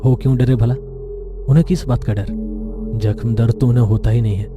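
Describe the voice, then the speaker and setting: A man playing a fearless, eerie young morgue newcomer in a horror story, speaking calmly and gravely. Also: mid-range voice, brisk, muffled recording, natural voice. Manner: calm, grave, philosophical. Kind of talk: dramatized Hindi horror audio story. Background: music.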